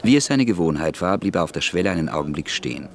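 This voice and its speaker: A male narrator with a very low-pitched voice reads scripted literary prose for a radio drama. His delivery is that of a storyteller setting scenes between the dialogue. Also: expressive, fast talker.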